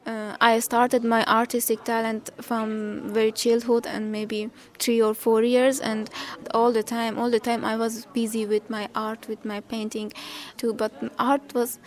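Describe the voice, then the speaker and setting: A woman in her twenties from Afghanistan, an artist speaking hesitant with pauses and small laughs. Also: on the high side, level tone. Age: 20s. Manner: halting non-native English with frequent pauses and soft laughs. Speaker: graffiti artist. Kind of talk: interview.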